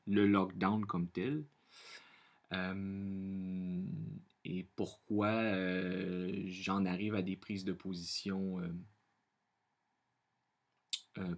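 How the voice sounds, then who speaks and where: A man from Quebec with a Quebec French accent, speaking earnestly and off the cuff as a vlogger, often pausing and repeating himself as he searches for words. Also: low-pitched. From Quebec, Canada. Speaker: vlogger sharing personal opinions. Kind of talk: unscripted monologue to camera.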